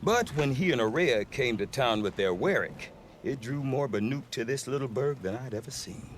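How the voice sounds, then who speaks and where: A man's voice: medium pitch, animated, moderate pace, slight background noise, natural voice. A man playing a chatty, folksy middle-aged trader in a video game, wry and rambling. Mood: wry, grumbling. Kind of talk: video game dialogue acting.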